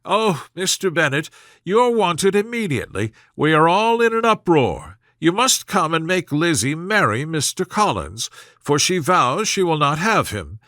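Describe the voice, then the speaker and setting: A man's voice: fairly high pitch, very animated, a little slow, sharp tone, no echo, clean studio sound, voice pushed up, full character voice. A man voicing a flustered, pushy middle-aged mother from a classic novel, pleading insistently. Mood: flustered alarm. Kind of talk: storybook character voice in classic novel reading.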